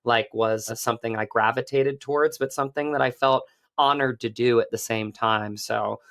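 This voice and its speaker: Earnest man, mid-pitched, as interview guest. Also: fairly even intonation, moderate pace, creaky, fairly bright tone. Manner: earnest, effusive. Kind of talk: conversational interview.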